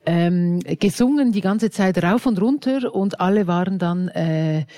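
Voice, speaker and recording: A woman's voice: fairly low pitch, unhurried, dark tone. A middle-aged Swiss woman with a Swiss German accent, telling her story as a guest in an interview, hesitant and full of fillers. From Switzerland. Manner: hesitant, many fillers and restarts. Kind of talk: casual interview.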